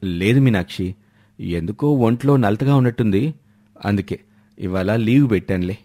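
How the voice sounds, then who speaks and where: A man's voice: lowish voice, expressive, moderate pace, dark tone, voice pushed down. A man voicing an easygoing, scheming husband in a family storybook tale. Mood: lazy, reassuring. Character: sly card-loving husband, easygoing schemer.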